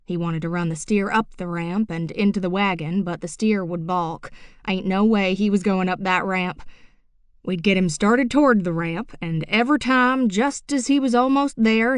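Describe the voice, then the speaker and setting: A woman's voice: mid-range voice, moderate pace, clear, resonant tone, no echo, studio quality, lightly acted. Folksy uncle spinning a yarn, read by a woman. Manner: drawling folksy storytelling. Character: middle-aged rural man, folksy tall-tale teller. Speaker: audiobook narrator. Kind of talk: audiobook character reading.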